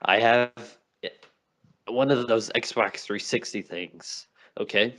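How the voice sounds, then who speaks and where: A man's voice: mid-range voice, steady tone, slow pace, fairly bright tone. A young man co-hosting a casual podcast chat, insistent and argumentative, who keeps telling his friend to listen. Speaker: podcast co-host. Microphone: remote call mic.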